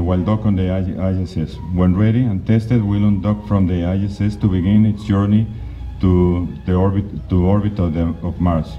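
A man giving a conference slide presentation, speaking haltingly with frequent restarts and fillers. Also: low-pitched. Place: conference hall. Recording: dull, lo-fi recording with faint noise.

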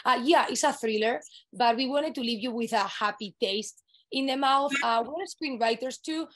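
A woman's voice: a little high, moderate pace. A woman from Spain with a Spanish accent, a native Spanish speaker, talking enthusiastically as an author in a video-call webinar. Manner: enthusiastic, speaks fluent English with frequent "um". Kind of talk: webinar conversation.

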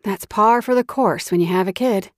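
A woman voicing a gentle, tired mother figure who talks softly and sighs. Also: medium pitch, normal speed, dry recording, studio quality, voice pushed up, natural voice. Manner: soft, sighing.

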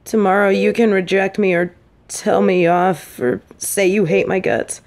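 A woman's voice: mid-range voice, fairly even intonation, a little mellow, lightly acted. A woman playing a stern, fiercely protective secret agent secretly in love, in an intimate, emotional audio roleplay. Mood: weary, tender. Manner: slow, emphatic, emotionally intense delivery.

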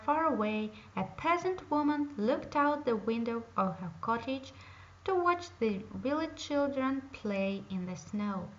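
A woman reading a fairy tale aloud in a slow, careful storytelling manner. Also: fairly high pitch, sharp tone. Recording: dull lo-fi home recording.

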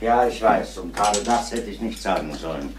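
An actor playing a calm, fatherly middle-aged police inspector in a radio crime play, measured and reassuring. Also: on the low side, roomy sound, noisy background, natural voice. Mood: measured, probing. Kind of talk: German radio crime drama acting.

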